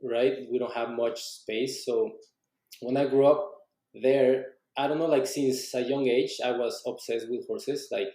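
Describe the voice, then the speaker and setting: A man's voice: mid-range voice, steady tone, measured pace, warm tone, echoey room, poor audio. A young adult man from Mexico with a Mexican accent, a native Spanish speaker, chatting loosely as a podcast guest with many restarts. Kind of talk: casual interview conversation.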